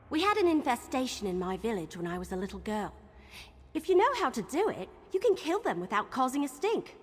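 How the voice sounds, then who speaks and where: Animated, eager archer companion. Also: female voice, high voice. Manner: animated, conspiratorial. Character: resourceful, eager young female archer companion. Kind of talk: fantasy game dialogue. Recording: hall reverb, music behind.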